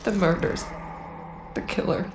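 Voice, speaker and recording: An actress playing a distressed woman captive in a cinematic video game, halting and pleading as she struggles to keep control. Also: noisy background, close to an everyday voice. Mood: strained, desperate. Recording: echoey room under loud soundtrack.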